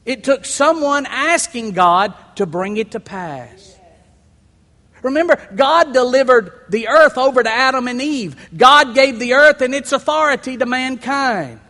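A man preaches with a high, bright, ringing voice that swells into shouts and then falls to a near hush. He mixes scripture reading with folksy jokes. A long hall reverb trails every phrase. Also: expressive, unhurried. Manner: builds to shouting crescendos, then drops low; folksy, humorous asides. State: sweating and worked up. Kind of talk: sermon.

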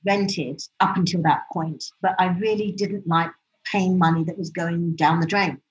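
Chatty woman telling lively anecdotes. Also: on the low side, moderate pace. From United Kingdom. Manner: animated storyteller, acts out quoted speech. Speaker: interviewee. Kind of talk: casual conversational interview. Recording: slightly dull lo-fi audio.

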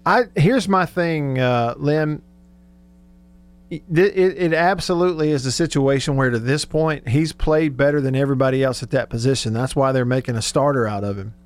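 A man's voice: medium pitch, normal speed. A man hosting sports talk radio in a casual, conversational, informal way.

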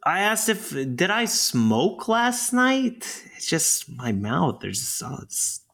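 An actor playing a hungover, irritable young boyfriend who is groggy, defensive and sarcastic. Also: male voice, fairly high pitch, sing-song, slow, vocal fry, a bit bright, exaggerated voice. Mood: grumpy. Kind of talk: audio drama acting.

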